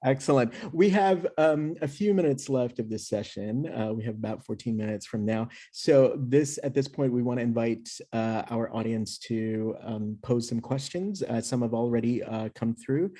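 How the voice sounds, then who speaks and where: A man moderating a panel talk, asking long questions with many pauses and fillers. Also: medium pitch, mellow. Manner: halting, many fillers, encouraging.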